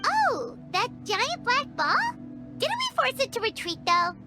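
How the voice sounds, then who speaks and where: A woman voicing a tiny, childlike fairy companion in an anime game, squeaky, curious and excitable. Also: a little slow, music in the background, heavily acted. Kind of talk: scripted anime game voice acting.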